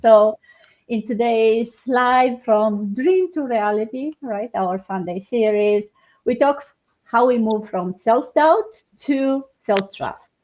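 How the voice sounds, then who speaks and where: A woman's voice: a little high, takes it slowly. A woman from Romania hosting a live online panel, talking hesitantly with many fillers and restarts. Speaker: host. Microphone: video call. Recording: dull lo-fi online call audio.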